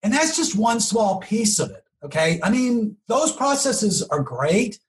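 A man explaining things conversationally as an interview guest, emphatic and often checking in with a quick "okay?" or "right?". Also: sits high, sing-song. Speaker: podcast guest, marketing professional.

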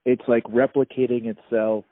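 A man's voice: mid-range voice, level tone, moderate pace, no echo, phone-quality sound. A man asking short, curious questions as the interviewer.

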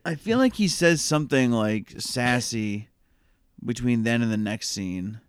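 Man, dry close recording, quick profane movie banter. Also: mid-range voice, expressive, smooth, dry recording. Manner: quick, swearing, laughing banter. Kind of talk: casual movie review chat.

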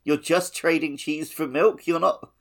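A man's voice: fairly high pitch, steady tone, a little slow. A man co-hosting a gaming podcast in a casual, playful, jokey way. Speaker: podcast co-host.

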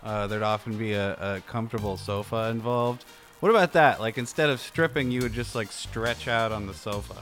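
A man's voice: on the low side, a little fast, fairly bright tone, faint music behind. A man co-hosting a podcast with playful comedic banter, repeating phrases as he improvises. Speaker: podcast co-host.

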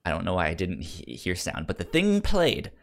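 Male podcast host, lively swings in pitch, enthusiastic, chatty, trips over words. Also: moderate pace, gravelly. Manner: upbeat and enthusiastic. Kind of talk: casual chat.

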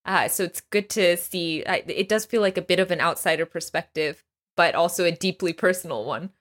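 Low-toned woman hosting an interview. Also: fairly quick, a bit bright. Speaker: interview host. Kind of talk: casual conversational interview.

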